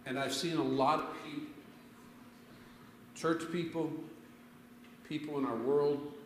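A man's voice: a little high, steady tone, measured pace, slight background noise. A middle-aged man preaching a conversational sermon to a congregation, telling stories and asking them questions, picked up by a distant camera. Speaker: pastor. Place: church sanctuary, before a congregation. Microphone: far camera. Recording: echoey church from a distant camera.

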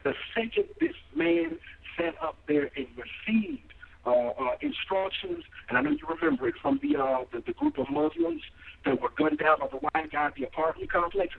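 A man's voice: extremely high pitch, gravelly, a little hiss or hum. A young man, calling in over a muffled, echoey phone line and talking in an impassioned, rambling way. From Mississippi. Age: young adult. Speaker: caller. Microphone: speakerphone.

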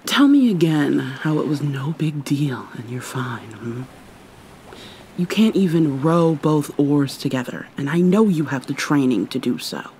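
A woman's voice: low-pitched, animated, measured pace, darkish tone, a little hiss or hum, rough recording. A woman playing an irritable, haughty but secretly caring vampire mermaid in an ASMR roleplay, sardonic and formal with exasperated sighs. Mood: scolding.

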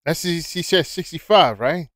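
A man reacting to a video with slow, amused, halting asides. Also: a little high, expressive, fairly bright tone, dry recording, clean studio sound. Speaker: reaction commentator. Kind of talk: casual reaction commentary.

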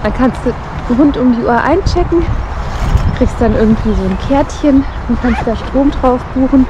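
A woman's voice: high-pitched, normal speed, dull audio. A woman chatting casually in a travel vlog, wry and teasing, talking in a camper van. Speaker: camping vlogger. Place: inside a camper van, in the car earlier. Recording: noisy car and camper sound.

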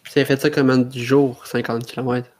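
Young quebec guy on a podcast video call, casual, average pitch. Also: flat delivery, brisk, darkish tone. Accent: Québécois. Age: young adult. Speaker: podcast panelist. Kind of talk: casual chat.

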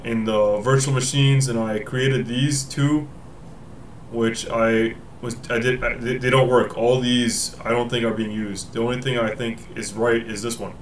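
A man's voice: medium pitch, slight background noise. A young man hosting a casual tech tutorial from a home room, thinking aloud, often correcting himself as he explains the steps. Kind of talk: casual screen-recorded walkthrough.

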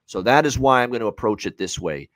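A man's voice: medium pitch, lively intonation, fast, fairly bright tone, dry recording. A man in his thirties giving opinionated, unscripted hockey betting analysis, stressing points by emphatic repetition. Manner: emphatic, repeats phrases for stress. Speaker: sports betting analyst on a show. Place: indoor room at home, on a video call.

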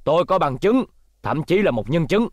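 A man's voice: on the high side, big pitch swings, moderate pace, no echo, lo-fi sound. A man voicing an earnest, troubled young patrol captain in a dubbed cartoon. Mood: stern.

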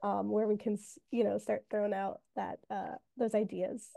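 A woman's voice: medium pitch, little pitch movement, moderate pace, gravelly, dark tone, no echo. A woman in her twenties moderating a festival Q&A over video call, enthusiastic and encouraging toward her guests. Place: room with old monitors, indoors.

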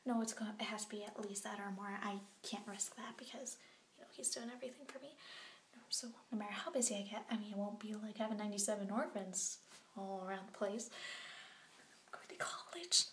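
A young woman playing an excitable orphan girl who secretly records a video diary at night, talking in a hushed whisper and rambling in bubbly bursts. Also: medium pitch, a little fast, echoey room, a little hiss or hum, lightly acted. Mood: whispered, giddy. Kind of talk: scripted web series vlog monologue.

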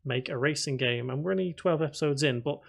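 A man giving a casual software tutorial, thinking aloud step by step and talking informally to his viewers. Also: on the high side, fast talker, creaky. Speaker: video tutorial presenter. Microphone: voice-over recorded at a computer.

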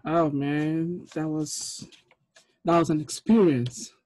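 Mellow-voiced man chatting on a video call. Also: a little high, steady tone, slow pace, no room sound. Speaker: host, film director running a table read. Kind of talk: casual video-call chat. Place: small room indoors on a video call.

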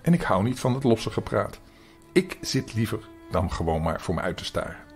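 A man's voice: fairly low pitch, very animated, moderate pace, faint music behind. A man voicing a dignified, easily affronted female cat in a children's story, articulating very precisely and primly. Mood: weary disdain. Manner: prim, extra clearly articulated.

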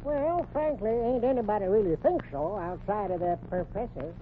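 A woman's voice: mid-range voice, moderate pace, lightly acted. An actress playing a folksy small-town woman with a rural American accent and homespun manner, in 1940s radio comedy style. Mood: gossipy. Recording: tinny, noisy 1940s radio recording.

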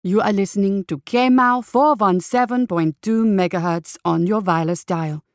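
A woman's voice: mid-range voice, normal speed, no room sound, clean studio sound, natural voice. A woman voicing a male radio reporter giving formal, genteel live commentary on a society event.

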